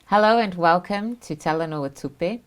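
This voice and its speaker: A woman's voice: on the low side, moderate pace, smooth. A woman with a New Zealand accent hosting a studio chat show, telling her family story in a reflective way with many fillers. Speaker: host. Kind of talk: casual interview chat.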